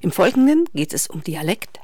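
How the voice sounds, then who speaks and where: Radio announcer reading a scripted link, flat neutral delivery, dry sound. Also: mid-range voice, normal speed, dry recording.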